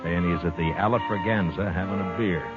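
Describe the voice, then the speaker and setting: An actor playing a calm frontier marshal in an old radio drama, narrating and speaking with a deep, unhurried manner. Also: male voice, lightly acted. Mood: reflective. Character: steady frontier marshal, grown man, calm. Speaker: narrator and lead. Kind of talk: 1950s radio drama acting. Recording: lo-fi old radio recording with music.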